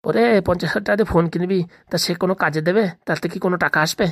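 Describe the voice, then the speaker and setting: A woman's voice: on the low side, racing, no room sound, studio quality. A woman voicing a scolding, exasperated village father in a comic cartoon, with a coarse, haggling manner. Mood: exasperated, bargaining.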